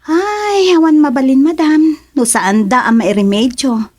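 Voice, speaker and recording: A woman playing an excitable village wife hoping to work abroad, with a husky voice and Ilocano accent, in a radio comedy drama. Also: sits high, unhurried, no echo, clean studio sound. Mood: deferential, anxious.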